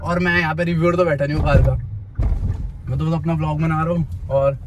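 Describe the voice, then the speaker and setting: Young indian guy doing a casual car vlog, voice a bit high, noisy road sound. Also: level tone, quick pace. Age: 20s. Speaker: car vlogger. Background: car and road noise.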